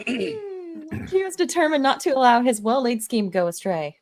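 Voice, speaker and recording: A woman's voice: fairly high pitch, sing-song, moderate pace, crowd noise. A woman reading stage directions as narrator in a live amateur table read, with a dramatic storytelling manner.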